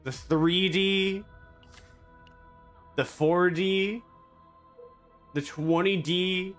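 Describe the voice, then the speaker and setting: Bright lively guy streaming, goofy deadpan rambling, soft game music behind. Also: sits high, extremely slow. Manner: rambling, joking, absurd deadpan banter. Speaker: VTuber streamer. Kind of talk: casual gaming stream chatter.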